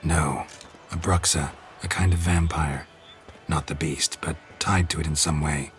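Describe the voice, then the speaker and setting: An actor playing a stoic, world-weary monster hunter in a video game, gravelly and laconic. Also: male voice, rumbling low, measured pace, slight background noise. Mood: matter-of-fact. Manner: laconic, deadpan, clipped sentences. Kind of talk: video game character acting.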